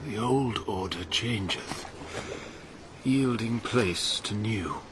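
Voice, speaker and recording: An actor playing a weary, noble, dying old king, reciting verse gravely and haltingly over a noisy track. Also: fairly low pitch, lively intonation. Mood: solemn, resigned. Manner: slow, halting, grave delivery.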